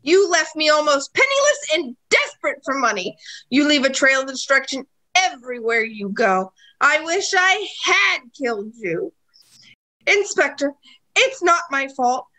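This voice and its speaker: Actress as jealous lady thief in a radio drama, super high sharp voice, very melodramatic. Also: low-quality recording. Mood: furious, hateful. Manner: melodramatic, emphatic. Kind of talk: radio play acting.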